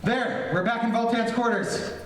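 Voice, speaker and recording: Man playing a high-voiced, earnest space hero. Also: fairly even intonation, normal speed. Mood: urgent. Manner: earnest, declamatory. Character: brave, earnest young space hero, protective. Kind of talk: old-time radio serial acting. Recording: very echoey distant stage recording, faint noise.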